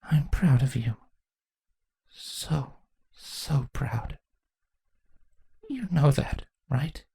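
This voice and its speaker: A man voicing an ailing mother changeling queen in a weak, breathy, shaky voice, tender and wry, for a storybook reading. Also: mid-range voice, animated, extremely slow, long pauses, mellow, dry recording, pitched above the performer's own voice, full character voice.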